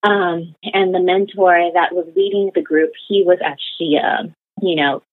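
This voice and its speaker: A woman's voice: fairly low pitch, steady tone, unhurried, no echo. A young woman talking casually as an interview guest, rambling with many fillers like "like" and "you know". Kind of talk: casual conversational storytelling. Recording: tinny phone-like line.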